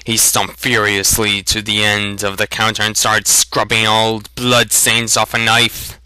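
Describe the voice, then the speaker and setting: Very bright, slow-reading male narrator. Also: on the low side, flat delivery, clean tone, no room sound, low-quality recording. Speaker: storybook narrator. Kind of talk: dramatic amateur audiobook reading.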